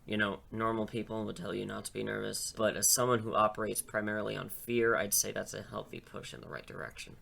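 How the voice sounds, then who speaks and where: A man playing a dry, self-assured high school English teacher in an audio drama, talking in a casual, rambling way. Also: steady tone, fast, creaky, hardly any pauses, bright, forceful tone, slight background noise, full character voice. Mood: dry, confident.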